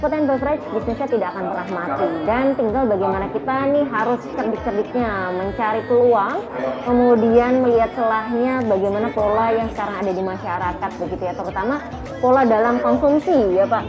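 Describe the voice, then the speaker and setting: A woman with a fairly high voice hosts a casual interview and keeps things chatty and upbeat. She is recorded in a busy restaurant with music playing clearly behind her. The chatter of diners fills the room around her. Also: a little fast. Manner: chatty, upbeat, laughs often. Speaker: talk show host. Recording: loud music and crowd chatter.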